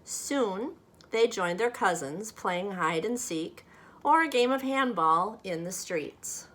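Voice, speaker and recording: A woman reading a children's picture book aloud expressively, with measured, dramatic phrasing meant for young listeners. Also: medium pitch, animated, a bit bright. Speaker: storybook reader.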